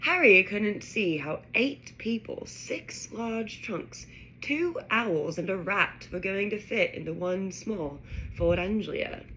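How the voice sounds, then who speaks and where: A woman putting on a British accent to read a children's storybook aloud in a measured, expressive way. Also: mid-range voice, a little hiss or hum, rough recording. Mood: storytelling. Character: British storybook narrator reading a wizard school tale. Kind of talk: scripted narration.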